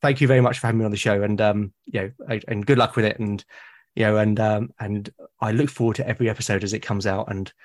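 A man's voice: lowish voice, fairly even intonation, fast. A British man, a podcast guest, talking in a reflective, casual way and often restarting and repeating words mid-sentence. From Britain.

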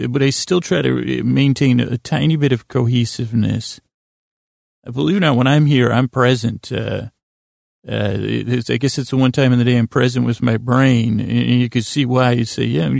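A man hosting a sleep podcast in a rambling, halting monologue, drifting into tangents with self-deprecating, gently absurd humor. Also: medium pitch, fairly even intonation, vocal fry, no echo, clean studio sound. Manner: meandering, digressive, halting with frequent self-interruptions. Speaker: podcast host telling bedtime stories.

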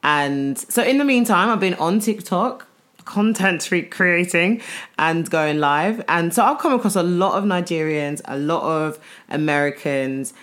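Young woman, British accent, low pitch, casual slangy podcast chat, expressive delivery. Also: a little slow. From United Kingdom. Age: young adult. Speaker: podcast co-host.

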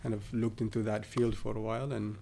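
A man from southern Ethiopia, a guest in a podcast interview, talking casually with many pauses and fillers. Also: fairly low pitch, level tone, vocal fry, dark tone, slight background noise. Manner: hesitant, many fillers and restarts.

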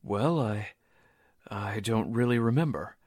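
A man performing in a deliberate 1940s radio drama style. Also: lowish voice, a little mellow, slightly rough, dry recording, clean studio sound.

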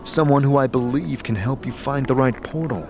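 A man playing a sombre, resolute but compassionate scarred wanderer in a fantasy audio drama, with earnest, measured speech. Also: mid-range voice, faint music behind, lightly acted. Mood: gently reassuring.

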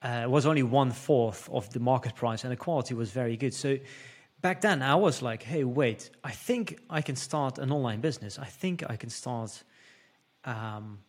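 Brief: Dutch-accented man, 30s, native Dutch speaker, podcast guest, eager storyteller, mid-pitch. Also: moderate pace. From Netherlands. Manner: eager storyteller with many restarts. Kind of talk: conversational storytelling.

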